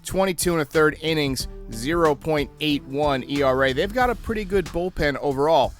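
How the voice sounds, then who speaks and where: A man's voice: a little high, moderate pace, soft background music. A man hosting a baseball fan show, delivering sports commentary and previews. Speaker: host of a baseball fan channel.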